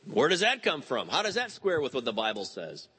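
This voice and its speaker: A man in his fifties preaching a sermon, animated and telling stories with quoted voices. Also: mid-range voice, brisk, slight background noise. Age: 50s. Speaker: pastor.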